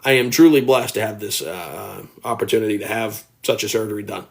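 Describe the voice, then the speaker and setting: An earnest young man in his early twenties hosts a casual livestream from home and speaks into his phone. He rambles a little and reads viewer comments aloud, and his voice turns gravelly from time to time. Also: lowish voice, a little fast. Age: early 20s. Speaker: livestream host.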